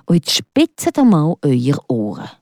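Swiss German radio reporter, mellow low voice, very lively, dry studio. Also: female voice, unhurried, no echo.

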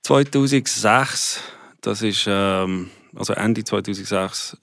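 A young man with a fairly low voice speaks Swiss German colored by his native Afrikaans. He talks in a relaxed way, laughing easily and restarting phrases as he goes. The sound is dry and close, with little room. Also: slow, no echo. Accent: Afrikaans-tinged Swiss German. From South Africa. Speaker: guest musician. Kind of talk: casual interview chat.

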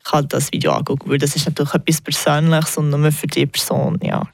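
Young woman with a gravelly edge. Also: sits low, a little fast, no room sound, studio quality. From Switzerland. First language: English. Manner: relaxed, chatty, frequent hesitations and laughs. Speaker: tarot reader. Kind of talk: casual interview answers.

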